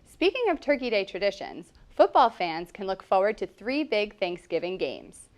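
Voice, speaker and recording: A woman reads the news from a script at a mid pitch. Her delivery stays even and practiced. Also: moderate pace. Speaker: student news anchor.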